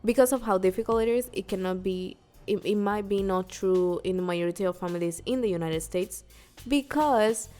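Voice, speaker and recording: A woman's voice: mid-range voice, brisk, hardly any pauses. Chatty woman from Puerto Rico. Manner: animated, laughs easily, restarts mid-sentence. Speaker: podcast co-host. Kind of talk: casual chat. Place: New York.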